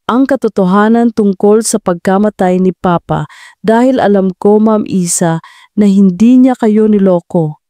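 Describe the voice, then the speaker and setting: A woman narrator doing a respectful young man who pleads in a soft, earnest voice. His delivery is gentle and mellow. The recording is dry and close. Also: medium pitch, clean tone, dry recording, natural voice.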